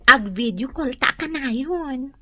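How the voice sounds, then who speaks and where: Bright, animated Ilocano woman. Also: high-pitched, slow, no echo, heavily acted. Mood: flirty, playful. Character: animated, talkative woman in a domestic comedy-drama. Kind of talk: Ilocano radio drama acting.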